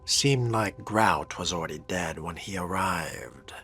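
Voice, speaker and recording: A man's voice: sits low, takes it slowly, a bit bright, soft background music. A man role-playing his game character's dialogue in a terse, formal manner.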